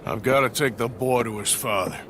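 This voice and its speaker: An actor playing a weary, blunt American outlaw gunslinger, terse and plain-spoken, in a dramatic video game. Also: male voice, mid-range voice, brisk, a bit bright, a little hiss or hum, close to an everyday voice. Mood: weary, wry.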